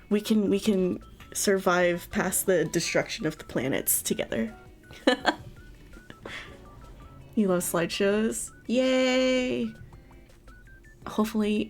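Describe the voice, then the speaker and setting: Young woman streamer, unhurried and giggly, rambling chat over soft music, desk mic. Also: mid-range voice. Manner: giggly, laughs often, rambling with restarts. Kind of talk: casual livestream chat. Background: faint background music.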